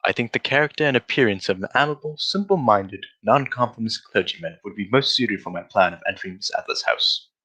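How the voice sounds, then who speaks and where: An actor playing a brisk, self-assured gentleman detective in an old-style radio drama, with precise, formal diction. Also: lowish voice, animated, husky, full character voice. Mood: brisk, commanding. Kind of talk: 1940s radio drama acting.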